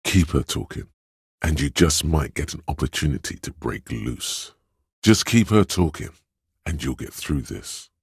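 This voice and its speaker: A man voicing a coaxing, insinuating inner voice of a detective's mind in a video game, giving deliberate advice in a gravelly, husky tone. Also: rumbling low, sing-song, no echo, clean studio sound, exaggerated voice. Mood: cautioning. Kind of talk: scripted game narration.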